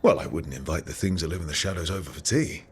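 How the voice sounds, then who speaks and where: An actor playing a steady, encouraging hero with dry wit in a fantasy video game, earnest and gently teasing. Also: male voice, low-pitched, fast, husky, full character voice. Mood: dry, curious. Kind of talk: dramatic video game dialogue.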